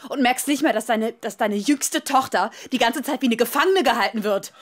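An actress in a radio drama playing a defiant, outspoken grown daughter in heated family dialogue. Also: female voice, fairly high pitch, normal speed, close to an everyday voice. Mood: accusing. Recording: very noisy background ambience.

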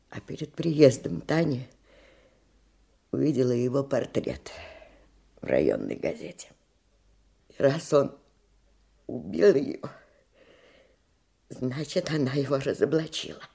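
An actress playing an embittered older woman, a war survivor, in a radio drama, speaking in an anguished, halting way. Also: a bass voice, lively intonation, gravelly, mellow, breathy edge, exaggerated voice. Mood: bitter, grave. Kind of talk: Russian radio drama acting.

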